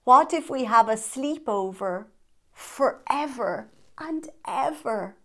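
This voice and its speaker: A woman's voice: a little high, takes it slowly, voice pushed up, heavily acted. Woman voicing an anxious, childlike storybook dinosaur. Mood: nervous. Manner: anxious, dramatic, expressive. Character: big, childlike, worried dinosaur from a picture book.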